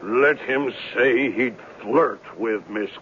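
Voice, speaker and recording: An actor playing a pompous, touchy fat suitor spoiling for a duel in a 1970s radio drama, with formal bluster. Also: male voice, medium pitch, slow, close to an everyday voice. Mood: threatening, outraged. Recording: muffled, hissy 1970s radio recording.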